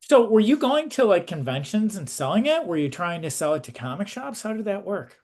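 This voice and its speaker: A man's voice: sits high, sing-song, brisk, darkish tone. A man hosting an interview, asking questions and commenting in a casual, chatty style.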